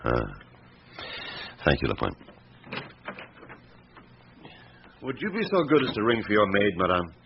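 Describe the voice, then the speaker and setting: An actor playing a stern, methodical French police chief inspector who questions with measured authority in a radio drama. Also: male voice, fairly low pitch, wildly swooping pitch, vocal fry, exaggerated voice. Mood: stern, probing. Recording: muffled old radio recording, background hiss.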